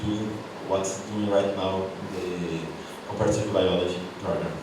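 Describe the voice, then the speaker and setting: Male professor, low voice, halting speech, heard from afar. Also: fairly even intonation. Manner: halting, many fillers. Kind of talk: panel discussion. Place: university auditorium. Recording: echoey hall, distant mic, noisy.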